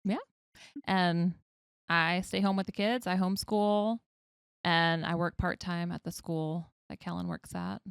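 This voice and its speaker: A woman, a homeschooling mother, chatting as a podcast guest in a warm, slightly shy way. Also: lowish voice, steady tone, unhurried, clean tone, dry recording, clean studio sound. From Georgia, US. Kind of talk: casual chat.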